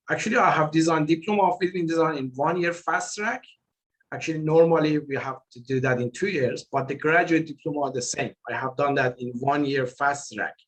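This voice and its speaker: A man with a non-native English accent, giving earnest, halting and repetitive remarks and answers as a guest presenter in an online meeting. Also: a little high, a bit bright, rough recording. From outside Australia, moved there in 2018. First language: not English. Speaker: guest presenter, building designer.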